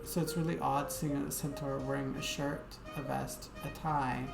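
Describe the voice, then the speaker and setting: A woman's voice: a bass voice, flat delivery, normal speed, vocal fry. A woman game streamer chatting casually to viewers in a rambling, hesitant way, with lots of fillers. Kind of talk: casual live-stream chat. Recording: echoey room with game music.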